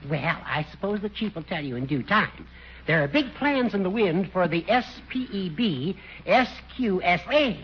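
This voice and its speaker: A cackling smug old judge. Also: male voice, high voice, sing-song, normal speed. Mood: teasing. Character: sly teasing old judge, rival and tease. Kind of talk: 1940s radio sitcom acting. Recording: dull old radio broadcast with faint hiss.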